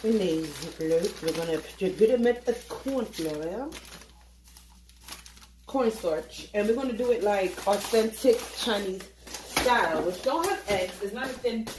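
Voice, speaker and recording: Woman, casual cooking chatter, tired late-night voice, echoey kitchen, steady background noise. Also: mid-range voice, measured pace. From Haitian family. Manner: chatty, joking, swears casually, sings snatches. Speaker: home cook vlogger. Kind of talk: casual cooking vlog chat. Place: home kitchen.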